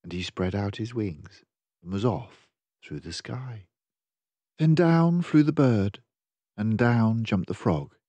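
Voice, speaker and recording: Slow, animated older male storyteller. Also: fairly low pitch, breathy edge, dry recording. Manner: dramatic, measured. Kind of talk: expressive children's storybook reading.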